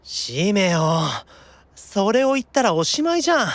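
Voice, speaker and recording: A man's voice: high-pitched, normal speed, no echo. An actor playing a whiny, easily panicked young man in an anime-style audio drama. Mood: exasperated, teasing. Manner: animated, stammering exclamations when unnerved.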